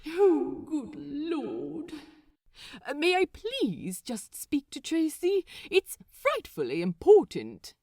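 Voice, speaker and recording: A prim, polite gentleman played by a woman narrator in an audiobook. He is anxious and hesitant, with a very animated pitch and pleading manner, recorded dry. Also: slow, creaky, dry recording, full character voice. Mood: flustered, pleading. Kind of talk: fan fiction audiobook character voice.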